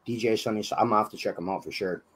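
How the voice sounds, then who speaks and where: Hoarse young man hosting a radio chat. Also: fairly low pitch, steady tone, a bit bright. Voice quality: husky. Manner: laid-back, swearing, quick laughs. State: hoarse from illness. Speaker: radio show host. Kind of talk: casual online radio interview. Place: small dim room with colored lights.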